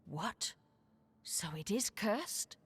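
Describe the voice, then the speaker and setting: A woman's voice: medium pitch, big pitch swings, drawn-out words, a bit bright, slightly rough, exaggerated voice. An actress playing a dry, matter-of-fact female adventurer in a fantasy video game. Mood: puzzled.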